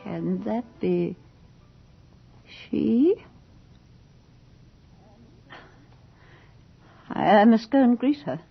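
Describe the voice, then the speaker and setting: Proud aging lady of the manor, theatrical, unhurried delivery, muffled vintage radio sound with prominent music. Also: a little high, expressive, gravelly, husky, full character voice. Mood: awed. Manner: grand, theatrical, formal diction. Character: proud, imperious aging noblewoman hosting her last ball. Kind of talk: old-time radio drama acting. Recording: dull old radio recording under music.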